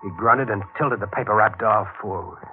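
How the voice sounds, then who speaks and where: Man acting brooding low-voiced reporter, old radio mystery. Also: moderate pace, narrow, tinny audio, heavy background noise, natural voice. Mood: brooding, tense. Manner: intense, dramatic. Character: brooding, obsessive newspaper reporter narrating his own mystery. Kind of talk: 1940s radio mystery acting, first-person narration. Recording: band-limited old 1940s radio, music behind.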